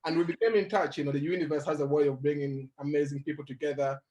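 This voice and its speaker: A young adult man who grew up in Uganda, telling his life story as a guest in an interview, animated and laughing as he goes. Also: fairly high pitch, quick pace, poor audio. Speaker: guest motivational speaker.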